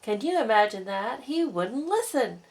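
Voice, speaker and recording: A woman's voice: fairly high pitch, sing-song, moderate pace, a little hiss or hum. A woman voicing a self-important, talkative owl in a children's story, pompous and long-winded. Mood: pompous, rambling.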